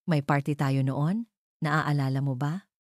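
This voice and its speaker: A woman's voice: deep voice, fairly even intonation, unhurried, no room sound, clean studio sound. A woman voicing an arrogant, scheming male villain in an audio drama, taunting and speaking with heavy emphasis. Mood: gloating. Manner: taunting, emphatic, spelling words out.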